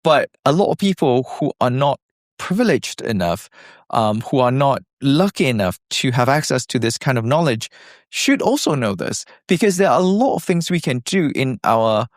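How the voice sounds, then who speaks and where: A man's voice: medium pitch, sing-song, normal speed, no room sound, studio quality. A man in his thirties from Malaysia, a scientist guest on a podcast, explaining earnestly with some fillers and restarts. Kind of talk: podcast interview.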